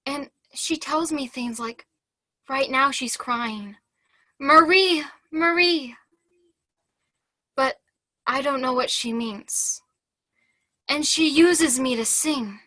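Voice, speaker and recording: A young woman acting a fragile, devout and troubled young nun in a halting, childlike stage monologue. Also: high-pitched, pushed and bright, dry recording, full character voice. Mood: haunted, earnest. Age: teens. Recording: video call.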